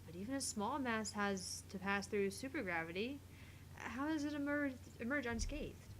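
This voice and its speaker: A woman's voice: a little high, expressive, moderate pace, fairly bright tone, slight background noise. A woman doing an acted reading of dialogue from a visual novel game. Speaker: voice reader in a visual novel playthrough.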